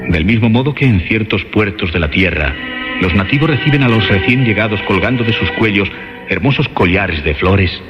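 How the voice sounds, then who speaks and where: Male narrator for radio horror: very low, grave and dramatic, emphatic repetitions, clear music bed. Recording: dull lo-fi radio sound.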